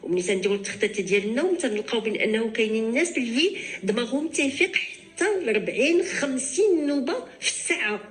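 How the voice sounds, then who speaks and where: A woman's voice: a little high, animated, soft background music. A woman doctor with a Moroccan accent, answering callers on a radio advice show, mixing in French and restarting often. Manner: fast Darija mixed with French, many restarts.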